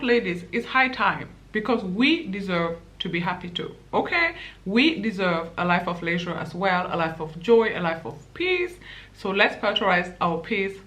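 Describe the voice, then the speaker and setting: A woman's voice: medium pitch, a bit bright. A young woman with a Nigerian accent, giving impassioned, pleading commentary to camera as a vlogger.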